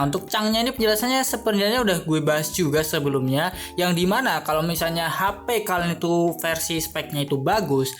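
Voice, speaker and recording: A man's voice: fairly high pitch, a bit bright. Casual young man over music. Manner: chatty, informal, addressing viewers as friends. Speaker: tutorial host. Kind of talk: casual screen-recorded tech tutorial. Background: background music.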